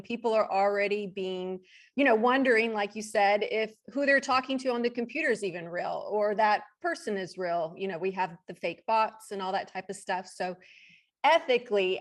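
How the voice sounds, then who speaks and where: A woman hosting an interview on her online channel, upbeat, with brief affirmations. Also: mid-range voice. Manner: upbeat, reading the guest bio, brief affirmations. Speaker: host.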